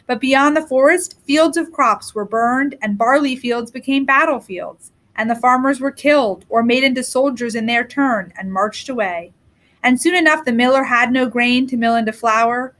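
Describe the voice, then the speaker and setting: A woman narrating a scripted storybook tale as a reader, with deliberate, expressive phrasing. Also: a little high.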